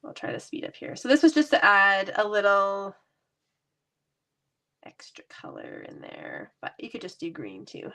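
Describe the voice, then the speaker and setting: A woman's voice: medium pitch, wildly swooping pitch, normal speed, a bit bright. A woman with a stuffy cold voice, chatting casually and laughing lightly as she demonstrates card making in her basement craft room. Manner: chatty, laughs often, self-deprecating.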